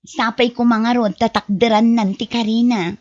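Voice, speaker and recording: A woman plays a worried, scolding woman of a Filipino family in an amateur audio drama. Her voice is fairly high, with a Filipino accent. The sound is very dry and somewhat dull. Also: fairly even intonation, moderate pace, darkish tone, dry recording, close to an everyday voice. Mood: reassuring. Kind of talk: amateur Ilocano radio-style drama acting.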